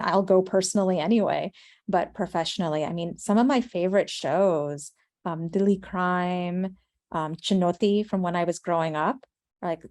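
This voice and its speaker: A woman's voice: lowish voice, warm tone. A woman with an American accent giving thoughtful interview answers as a writer, earnest and reflective in manner. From United States. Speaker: screenwriter and playwright, interview guest.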